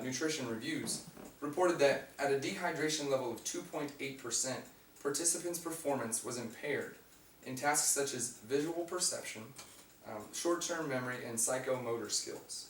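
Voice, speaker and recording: A young man with a raspy voice giving an informative class speech, reading from notes with fillers and restarts. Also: mid-range voice, moderate pace. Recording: echoey room, mic far off, faint hum.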